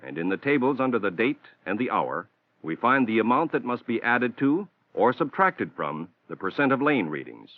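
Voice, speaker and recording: An American man reading a scripted instructional narration for a training film in a measured, formal, explanatory manner, on a 1960s film soundtrack. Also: mid-range voice, very animated. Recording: dull, band-limited 1960s film soundtrack.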